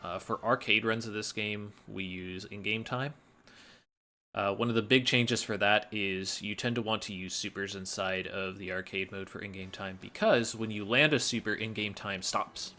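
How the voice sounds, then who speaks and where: A man's voice: fairly low pitch, fairly even intonation, normal speed, pushed and bright. A man giving a casual gameplay commentary as a speedrunner explaining his play.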